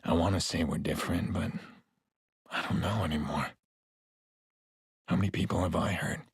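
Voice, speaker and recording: A haunted, middle-aged vigilante, very husky and low, in a video game cutscene. He broods in terse, deliberate phrases, with a gravelly edge and a close, dry sound. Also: steady tone, dry recording, full character voice. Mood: remorseful.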